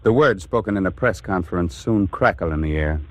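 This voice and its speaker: A man delivering measured, formal narration in a dramatic documentary style. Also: sits low, expressive.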